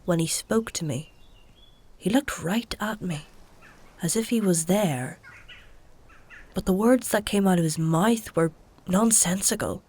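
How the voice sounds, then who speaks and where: An actress playing a weary, dry-witted healer in a dark fantasy audio drama, with a wry, coaxing, matter-of-fact manner. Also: female voice, on the low side, unhurried, pauses often, husky. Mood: reflective, dry. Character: weary, dry-witted woman healer tending a dying stranger.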